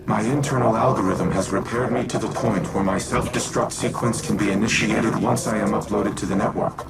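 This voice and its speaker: An actor playing a solemn, self-sacrificing military artificial intelligence with a gravelly voice and formal, resolute, measured speech in a game cutscene. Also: male voice, on the low side, steady tone, natural voice, low-quality recording. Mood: urgent, commanding. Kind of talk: scripted game cutscene acting. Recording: loud music behind voice.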